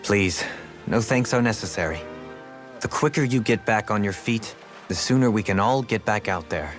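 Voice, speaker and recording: A man voicing a calm, philosophical gentleman warrior in a video game, with formal, measured and polite phrasing. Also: fairly low pitch, lightly acted. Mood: kindly. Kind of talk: anime video game dub acting. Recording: game dialogue over background music.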